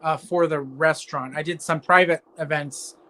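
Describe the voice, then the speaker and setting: Bright-voiced easygoing male baker. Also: a little high, fairly even intonation, moderate pace. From California, USA. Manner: easygoing, talks in long stringing sentences. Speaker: guest baker. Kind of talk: casual interview answers.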